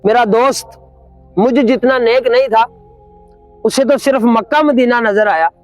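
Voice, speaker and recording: A man playing a bossy, suspicious villager in a cartoon story, exclaiming loudly and emphatically. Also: very high-pitched, a little slow, no room sound, soft background music. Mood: feigned awe.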